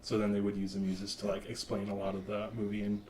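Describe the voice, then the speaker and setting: A man co-hosting a casual podcast chat, animated and laughing often, with lots of fillers. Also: on the low side, fast. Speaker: podcast co-host. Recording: roomy, distant mic.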